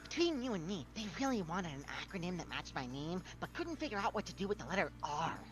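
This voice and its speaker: A woman voicing a polished, helpful machine assistant in a video game, speaking in a measured, precise, salesy way. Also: fairly low pitch, very animated, faint music behind. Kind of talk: scripted game dialogue read aloud.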